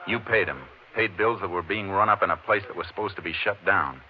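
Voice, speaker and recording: An actor playing a tough, cool-headed Texas Ranger in a 1950s radio western, terse and commanding. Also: male voice, low-pitched, fairly quick, lightly acted. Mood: accusing. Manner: terse, commanding, hard-boiled. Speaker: actor in the lead role. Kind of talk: 1950s radio western acting with first-person narration. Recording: dull, noisy old radio recording.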